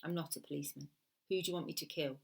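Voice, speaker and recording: A woman's voice: sits low, fairly even intonation, rapid-fire, vocal fry. A woman voicing a cool, careful male hired killer in a terse, businesslike, controlled way. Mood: businesslike, detached.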